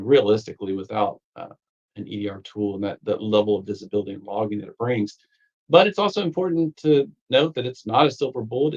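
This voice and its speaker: A man with a fairly low voice talks through a training course as its author, loose and conversational, piling up fillers and restarts. His voice has gone a little raspy. The audio is somewhat dull and lo-fi. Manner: rambling, many fillers and restarts. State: slightly raspy voice, needs a cough drop. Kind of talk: conversational technical course walkthrough.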